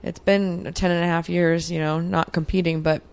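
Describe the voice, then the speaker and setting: A woman's voice: fairly low pitch, flat delivery, normal speed, creaky, a little mellow, smooth. A woman in her mid-thirties, a guest answering interview questions in a reflective, earnest way with many fillers. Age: 30s. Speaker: podcast guest, athlete.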